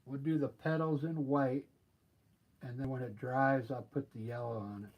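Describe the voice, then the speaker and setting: A man with a slightly scratchy voice, softly talking through a painting he is working on during a live stream from his studio. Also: mid-range voice, steady tone, normal speed, highs cut off. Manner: soft-spoken, thinking aloud while painting. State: scratchy voice from singing and allergies. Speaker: artist livestreaming painting. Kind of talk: casual live painting commentary. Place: home art studio. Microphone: clip-on mic after plugging it in.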